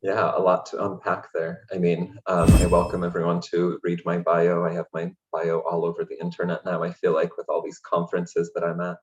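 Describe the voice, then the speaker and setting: A man from Hungary, a guest in an interview, speaking in a relaxed, chatty way with many fillers over a video call. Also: low-pitched, brisk, few pauses, dull audio. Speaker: interview guest, language access programs director. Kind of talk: casual interview conversation.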